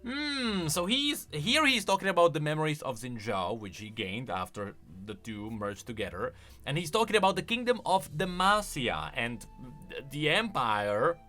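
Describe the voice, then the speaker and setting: A man's voice: on the high side, very animated, normal speed, sharp tone, soft background music. A man hosting a video, giving casual, enthusiastic commentary on game lore and explaining as he goes. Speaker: video host.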